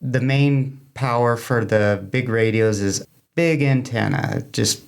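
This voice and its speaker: A man's voice: medium pitch, takes it slowly, creaky, smooth. A man speaking as a guest in an interview, explaining things informally in a plain-spoken way. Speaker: guest, amateur radio club member.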